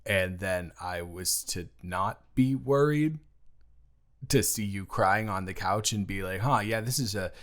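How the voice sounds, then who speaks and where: A man playing a caring, awkward twenty-one-year-old best friend in an intimate audio roleplay, with halting, rambling, casual speech. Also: fairly low pitch, a bit bright. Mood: flustered, concerned. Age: young adult.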